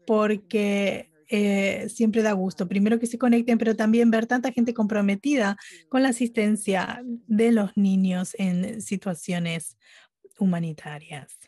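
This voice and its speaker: A woman giving live interpretation at a webinar, speaking haltingly phrase by phrase. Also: mid-range voice, fairly even intonation, a little mellow, dry recording. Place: remote online conference audio channel.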